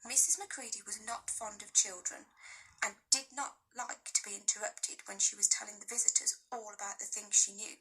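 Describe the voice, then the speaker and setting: A woman teacher reading a children's novel aloud with gentle, expressive storytelling. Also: medium pitch, normal speed, breathy edge, rough recording.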